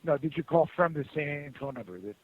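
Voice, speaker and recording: A man working as a customer service agent on the phone, speaking in a halting, rambling, conciliatory way. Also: on the high side, lively intonation. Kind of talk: unscripted phone support conversation. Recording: muffled phone call with hiss.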